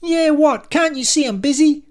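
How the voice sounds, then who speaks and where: A man voicing a shrill, panicky and impatient female receptionist in an audiobook, shrieking melodramatically. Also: normal speed. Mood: snappy, impatient.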